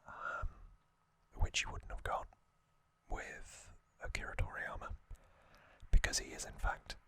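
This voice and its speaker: Guy doing whispered asmr fan chat, really slow and soft, lots of pauses. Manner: soft, unhurried, hushed delivery with long pauses. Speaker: ASMR video host.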